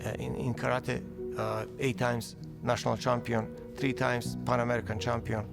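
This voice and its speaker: A man in his fifties, originally from Bosnia, speaking English with a Bosnian accent in an interview, halting and repeating words as he searches for phrasing. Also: medium pitch, flat delivery, gravelly. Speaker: award recipient. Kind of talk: recorded interview testimonial. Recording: music bed underneath. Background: music.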